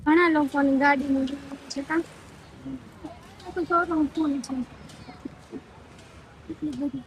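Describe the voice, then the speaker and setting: High-pitched young woman, chatty vlogger. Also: fairly even intonation, slow pace, a little hiss or hum, poor audio. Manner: chatty, teasing, mixes in English words. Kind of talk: casual vlog chat.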